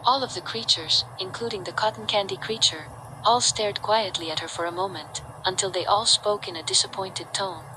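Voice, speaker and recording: A woman's voice: mid-range voice, normal speed. A woman reading a scripted story as its narrator. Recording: clearly noisy background.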